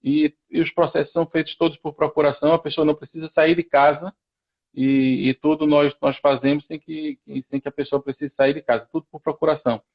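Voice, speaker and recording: Gravelly Pernambuco-accented man explaining. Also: on the high side, little pitch movement, fairly quick, dull audio. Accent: Pernambuco Brazilian Portuguese. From Recife, Brazil. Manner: methodical, explains step by step with frequent restarts. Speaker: immigration lawyer guest. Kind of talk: explanatory live-stream interview.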